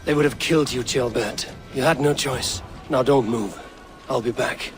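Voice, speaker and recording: An actor playing a stern, commanding Viking warrior who speaks tersely, in a video game cutscene. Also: male voice, mid-range voice, normal speed, close to an everyday voice. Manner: terse, authoritative. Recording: music under dialogue.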